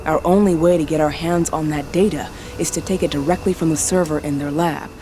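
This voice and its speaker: An actress playing a frank, supportive activist comrade and old friend, casual and blunt, in a video game cutscene. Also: female voice, low voice, fairly even intonation, fairly quick, natural voice. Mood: matter-of-fact. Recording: clear ambient noise behind the voice.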